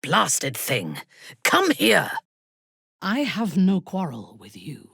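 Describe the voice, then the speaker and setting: Haughty drow warrior woman, video game, breathy husky voice, slow imperious delivery, very dry close recording, cold and ruthless. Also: on the low side, huge pitch swings, a bit bright, no room sound, heavily acted. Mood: contemptuous, menacing. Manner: imperious, deliberate, formal diction. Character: haughty, ruthless female drow warrior, devout and coldly seductive. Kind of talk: video game character acting.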